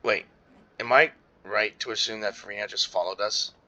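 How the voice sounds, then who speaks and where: A man giving casual, rambling game commentary, halting and reacting as he goes. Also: fairly low pitch, fairly even intonation, vocal fry, sharp tone, lo-fi sound. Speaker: gaming video maker. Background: game music.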